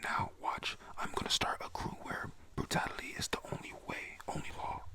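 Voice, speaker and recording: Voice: normal speed, close to an everyday voice. A young adult whispering the lines of a blunt, brutal male pirate captain while recapping a cartoon. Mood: contemptuous. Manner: blunt, dismissive.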